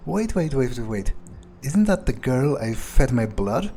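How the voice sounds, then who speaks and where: A man role-playing a sardonic male vampire who thinks aloud in a halting, musing way during a game playthrough. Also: mid-range voice, very animated, mellow, faint music behind. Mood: puzzled. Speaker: gamer role-playing a character. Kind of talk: in-character improvised game playthrough monologue.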